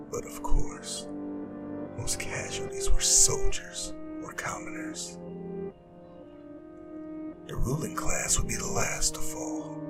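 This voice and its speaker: Man playing a breathy, gravelly, smug Demon King. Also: medium pitch. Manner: smug, taunting, deliberate, persuasive villain speech. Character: immortal male Demon King, smug, scheming, silkily menacing tyrant. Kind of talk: scripted ASMR audio roleplay monologue.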